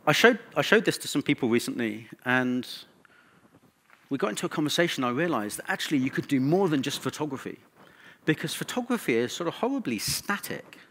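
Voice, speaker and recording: A man's voice: mid-range voice, big pitch swings, fairly quick, a bit bright, breathy edge. A man speaking as a conference presenter, informal and conversational, with wry asides. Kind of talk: conference talk.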